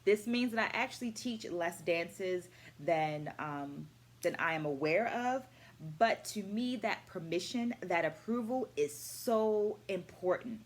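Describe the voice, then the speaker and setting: A middle-aged woman presenting a scripted book review to camera as an educator, in a measured, articulate way. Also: on the low side, lively intonation. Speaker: host, dance educator. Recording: clearly noisy room ambience.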